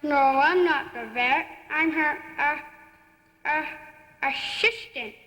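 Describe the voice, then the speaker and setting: A woman voicing a gentle young vet's assistant in a live puppet show, speaking slowly in halting, simple phrases. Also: high voice, full character voice. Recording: echoey hall, faint noise.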